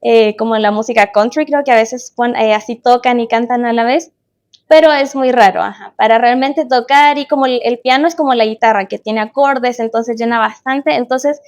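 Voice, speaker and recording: A woman's voice: a little high, moderate pace. A woman in her late twenties with a Chilean accent, chatting casually as a podcast guest about her own life. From Chile, born in Guatemala. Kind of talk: casual interview chat, personal reminiscence.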